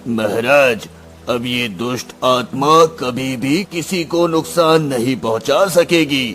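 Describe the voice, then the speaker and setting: Man as a solemn, slow-spoken royal sage. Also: medium pitch, a bit bright, soft background music. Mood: grave. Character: solemn male royal sage chanting holy spells.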